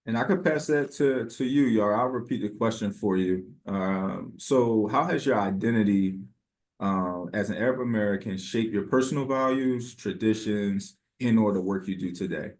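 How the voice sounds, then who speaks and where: A man hosting an interview, polite and halting, with frequent fillers. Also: lowish voice, darkish tone. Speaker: interviewer, host.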